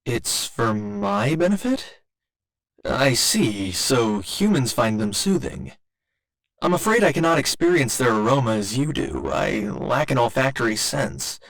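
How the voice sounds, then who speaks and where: A man's voice: mid-range voice, expressive, measured pace, creaky, a bit bright. A man voicing a polite, earnest robot boyfriend in an ASMR roleplay, speaking in formal, precise and naively literal language. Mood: curious, polite. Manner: formal, precise, careful wording with occasional glitchy stutters.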